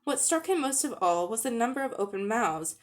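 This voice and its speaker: A woman narrating a children's story as a dramatic reading, with expressive storytelling delivery. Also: a little high, brisk. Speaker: audiobook-style narrator.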